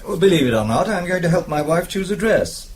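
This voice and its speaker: A man plays a quick-witted Englishman with a British accent, urbane and wry. His delivery swings freely in pitch and stays flippant and evasive. There is faint noise on the radio drama recording. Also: expressive, close to an everyday voice. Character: dry, flippant Englishman, quick-witted husband under suspicion.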